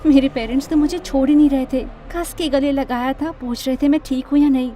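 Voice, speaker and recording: A woman narrating a scripted first-person animated story in a dramatic storytelling manner. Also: sits high, fairly quick, slight background noise. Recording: music under the voice.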